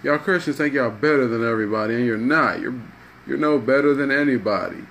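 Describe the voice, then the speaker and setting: A man's voice: mid-range voice, a little fast, a bit bright, natural voice. Distraught addict for spoken parable, played by a man: agitated, self-pitying, bitter and ashamed, with noticeable background noise. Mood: defensive.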